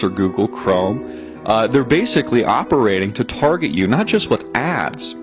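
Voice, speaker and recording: A man hosting his own video channel, musing aloud to viewers in a reflective monologue, with frequent "uh"s and restarts. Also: lowish voice.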